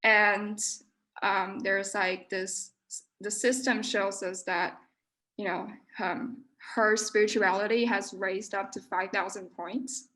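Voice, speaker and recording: A woman's voice: mid-range voice, level tone, slow pace, pushed and bright, low-quality recording. Hesitant Taiwanese-raised woman presenting slides. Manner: hesitant, many fillers and restarts. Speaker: guest artist giving a talk. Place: university lecture room.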